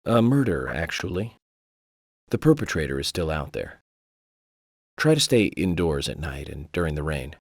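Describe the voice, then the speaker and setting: An actor playing a serious, courteous lawman in a video game cutscene, measured and businesslike. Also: a bass voice, sing-song, creaky, dry recording, studio quality, heavily acted. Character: serious, courteous male lawman on a murder case.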